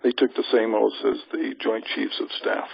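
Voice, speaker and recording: A man's voice: lowish voice, level tone, normal speed, vocal fry, a little hiss or hum. A man giving an informal, emphatic and assured briefing to a group over a conference call, often posing rhetorical questions. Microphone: telephone conference call. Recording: lo-fi conference phone line.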